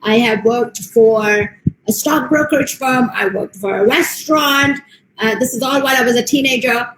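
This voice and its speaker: A woman's voice: fairly high pitch, normal speed, roomy sound, slight background noise, poor audio. An American woman, a motivational speaker, giving an earnest online presentation with frequent ums. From United States. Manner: steady, earnest delivery with frequent um fillers.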